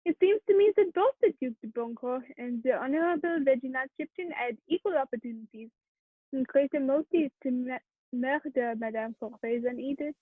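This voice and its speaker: A woman's voice: sits high, normal speed, husky. A woman playing a formal, stern French prefect of police questioning witnesses in an amateur radio play. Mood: measured, official. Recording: thin, narrow phone-like sound.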